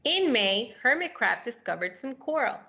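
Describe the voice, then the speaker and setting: A woman reading a picture book aloud to children, animated and expressive, with questions for young listeners. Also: fairly high pitch, moderate pace, vocal fry, a little hiss or hum. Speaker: story reader for children.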